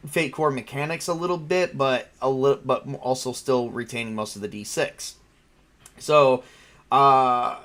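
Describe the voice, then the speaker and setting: A man hosting a tabletop game livestream as game master, chatting informally in a rambling, mildly crude way. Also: medium pitch. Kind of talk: casual livestream chat.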